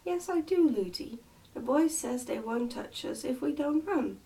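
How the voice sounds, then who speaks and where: A woman's voice: high-pitched, expressive, a little slow, mellow, distant mic, voice pushed up. A woman voicing a trusting, outspoken little princess in a storybook, with an earnest, insistent childlike manner.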